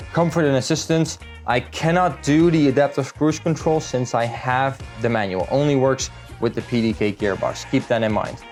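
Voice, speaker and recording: A man's voice: medium pitch, a little mellow. A man from the Netherlands, talking through a car build in a casual, matter-of-fact vlog style as a salesman would.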